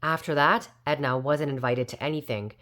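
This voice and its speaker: A woman's voice: sits low, normal speed, fairly bright tone. A woman voicing a prim, know-it-all younger sister fairy, telling a storybook tale in a smug, critical, gossipy way. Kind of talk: storybook character narration.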